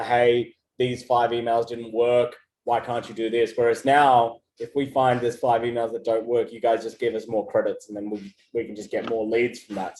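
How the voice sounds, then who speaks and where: A man's voice: medium pitch, warm tone. Man talking in an echoey room. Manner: conversational, many fillers and restarts. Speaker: agency co-founder giving customer feedback. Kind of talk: casual interview answers. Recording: echoey room with faint ambience.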